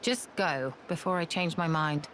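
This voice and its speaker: A woman playing a gruff, dutiful military commander in a video game cutscene, talking bluntly and with authority. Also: low-pitched, measured pace, fairly bright tone, slight background noise. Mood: blunt, stern.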